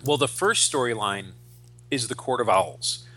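A man's voice: mid-range voice, animated, a little slow, pushed and bright, husky. A man in his forties co-hosting a podcast, chatting casually and enthusiastically about comic books, swearing as he explains things. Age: 40s. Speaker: podcast co-host.

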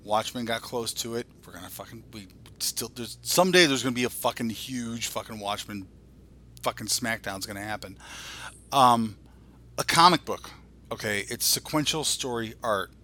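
A man's voice: mid-range voice, normal speed, sharp tone. A man in his forties co-hosting a podcast, ranting casually in a blunt, exasperated way with constant profanity. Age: 40s. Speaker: podcast co-host. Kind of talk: casual opinionated podcast rant.

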